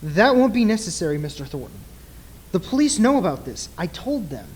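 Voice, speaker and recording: A man playing an earnest, grave nightclub mentalist in an old-time radio play, speaking formally and deliberately in a husky voice. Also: sits high, big pitch swings, darkish tone, a little hiss or hum. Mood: grave, insistent.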